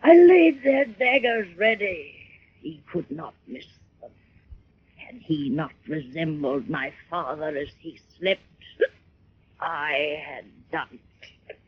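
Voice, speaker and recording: An actress playing a grand, tippling, easily frightened stage duchess with a declamatory, muttering delivery in old radio style. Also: female voice, sits low, expressive, slow, breathy edge, no echo, full character voice. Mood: tipsy, theatrical. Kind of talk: 1940s radio drama acting. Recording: muffled vintage radio recording.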